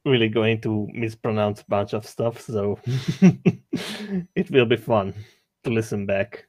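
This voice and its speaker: Hungarian accent guy, guest artist chatting, talks real slow, dry close sound. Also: on the low side, steady tone, a little mellow, dry recording. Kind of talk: casual chat.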